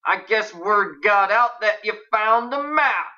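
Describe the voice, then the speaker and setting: A reserved young cowboy, acted out by a female reader, talks in short rustic phrases. He sounds irritable and guarded, with a sharp tone. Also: low-pitched, expressive, a little slow, dull audio, exaggerated voice. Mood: irritated.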